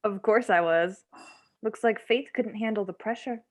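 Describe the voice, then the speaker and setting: A woman's voice: mid-range voice, normal speed, natural voice. Woman playing a smug, haughty teenage girl. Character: haughty, jealous teenage girl snowboarder, Jake's girlfriend. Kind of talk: screenplay table read.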